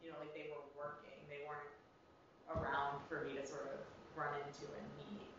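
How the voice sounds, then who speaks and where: A woman's voice: sits low, completely flat delivery, moderate pace. A young woman with an American accent giving an informal student research presentation in a hesitant, rambling, casual way. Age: young adult. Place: reverberant indoor room, recorded from afar. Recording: very echoey room, distant muffled mic, noisy.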